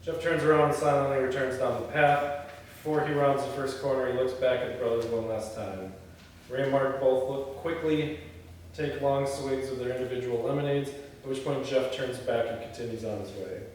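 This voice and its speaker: A man's voice: medium pitch, fairly even intonation, moderate pace, a little mellow. A man reading screenplay stage directions aloud at a table read, with informal asides. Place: indoor echoey room. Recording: very echoey room, distant mic, faint noise.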